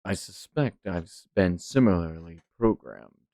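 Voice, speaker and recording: Man doing a low, deadpan renegade ninja. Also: unhurried, gravelly, no echo, clean studio sound, heavily acted. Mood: cool, resolute. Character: terse, cool, deliberate renegade ninja.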